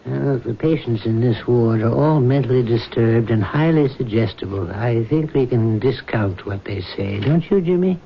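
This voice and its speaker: A male actor's hospital doctor from a 1970s radio play, clinical and authoritative. He speaks in a measured, dismissive way at a middle pitch. The recording is muffled, with faint noise. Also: creaky, natural voice. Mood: probing, dismissive. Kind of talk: 1970s radio drama acting. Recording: noisy, dull vintage radio recording.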